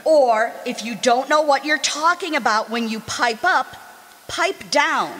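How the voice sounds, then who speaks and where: Woman acting wry wife, slightly high voice. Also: a little slow, bright, forceful tone. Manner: dry teasing, homely endearments. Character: patient, wry, teasing wife, middle-aged. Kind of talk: 1940s radio comedy acting, live re-creation. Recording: slightly noisy live stage recording.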